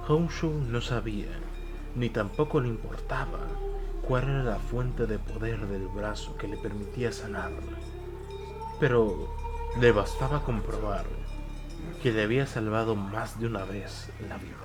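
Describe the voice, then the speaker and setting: A man narrating a war tale as a dramatic audiobook, measured, solemn and emphatic. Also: lowish voice. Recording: music behind the voice.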